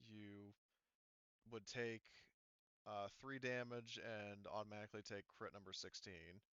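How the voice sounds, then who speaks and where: A man running a tabletop roleplaying game as game master, talking in a casual, halting and sometimes profane way. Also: lowish voice, steady tone, a bit bright, clear, resonant tone, dry recording, lo-fi sound. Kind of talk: improvised narration and rules talk in an online tabletop roleplaying game.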